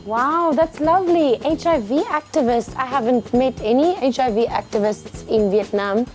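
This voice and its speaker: A woman's voice: high-pitched, moderate pace, faint music behind. A woman co-hosting a talk show in English, which is not her first language, chatting playfully and teasing. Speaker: co-host. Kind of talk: casual talk-show chat.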